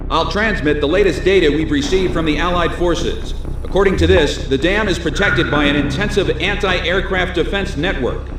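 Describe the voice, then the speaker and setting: An actor playing a serious, urgent military officer delivering a scripted mission briefing in a video game. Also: male voice, a little high, moderate pace, hardly any pauses, background noise, close to an everyday voice. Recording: roomy, distant sound under loud music. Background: loud music.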